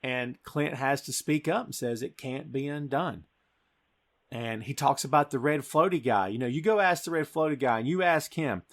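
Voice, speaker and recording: A man's voice: mid-range voice, moderate pace. A man hosting a podcast, recapping a film in an informal, emphatic storytelling way. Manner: informal, emphatic storytelling, addressing co-host as dude. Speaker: podcast host. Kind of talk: casual film recap and discussion.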